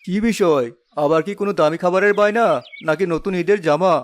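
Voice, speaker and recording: High-voiced, fatherly cartoon man acted by a man. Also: quick pace, natural voice. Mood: questioning. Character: wealthy, kindly father of a young girl. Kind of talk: scripted cartoon dialogue. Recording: music behind the voice.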